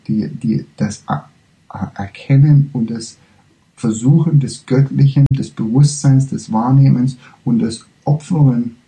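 A man giving a freely spoken spiritual lecture, haltingly, often searching for words and correcting himself. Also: mid-range voice, mellow, rough recording.

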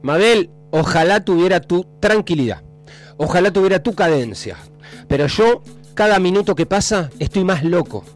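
A man with a Rioplatense accent hosting a live radio call-in show, joking and excitable as he reads listener messages. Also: high-pitched, normal speed, faint music behind. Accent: Rioplatense Spanish. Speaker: radio host.

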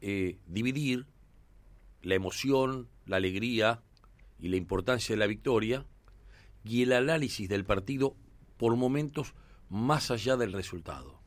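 A man with an Argentine Rioplatense accent hosts a sports radio show from the studio. His voice sits on the low side and comes through dry and close on a studio microphone. He talks conversationally and fills his pauses with "eh" and "este". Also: dry recording. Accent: Argentine Rioplatense Spanish. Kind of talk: sports radio talk and commentary.

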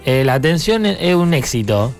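A man's voice: a little high, very animated, moderate pace. A man with an Argentine Rioplatense accent, chatting casually as co-host of a live radio show. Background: faint music.

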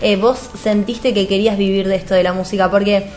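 A woman's voice: mid-range voice, fairly even intonation, brisk, darkish tone. A woman with an Argentine accent co-hosting a radio show and interviewing a guest with gushing interjections. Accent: Argentine Spanish. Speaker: radio co-host.

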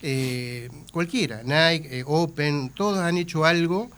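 A man's voice: on the high side, slow, a bit bright. Chatty Argentine man with a flu. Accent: Argentine Spanish. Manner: talkative, rambling, many fillers. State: has the flu. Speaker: advertising guest. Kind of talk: casual radio chat.

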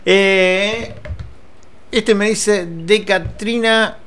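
Bright-voiced Argentine man bantering on radio. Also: high voice, steady tone, very slow, a little hiss or hum. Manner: joking, teasing. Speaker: radio co-host reading listeners' messages. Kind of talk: casual chat.

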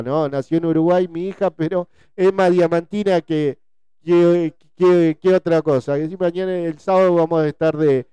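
Rioplatense guy in his 40s, bit high pitched but soft and mellow, chatty host repeating himself, super clean voice. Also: clean tone, no room sound. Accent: Rioplatense Spanish. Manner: repetitive, conversational, often seeking agreement with tag questions. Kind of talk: casual live chat, reading listener messages.

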